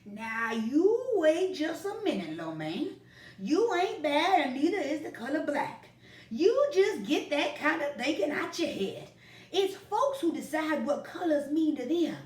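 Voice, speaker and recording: A woman's voice: sits high, sing-song, a little slow, darkish tone, roomy sound, recorded from a distance, voice pushed up. A woman doing a folksy, loving old grandpa. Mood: firm, warm. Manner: folksy drawl, firm and reassuring.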